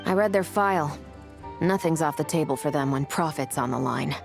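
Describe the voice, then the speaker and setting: A woman's voice: low-pitched, normal speed, creaky, music in the background. A woman playing a shrewd, skeptical investigator in a video game, speaking in a dry, matter-of-fact way.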